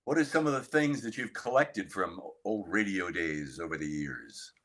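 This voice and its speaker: Bright, forceful older man interviewing. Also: low-pitched, animated, normal speed. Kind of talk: conversational interview with storytelling.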